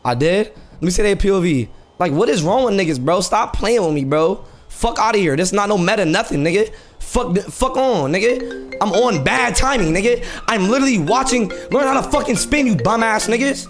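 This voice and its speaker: A man's voice: high-pitched, animated, brisk, faint music behind. An American man chatting on a casual gameplay stream in brash, profane, repetitive street slang. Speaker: live streamer playing a GTA roleplay game.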